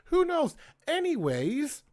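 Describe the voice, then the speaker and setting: A man's voice: very high voice, wildly swooping pitch, takes it slowly, darkish tone. A man hosting his own poetry channel, reading his poems aloud in a casual way and starting lines over when he stumbles.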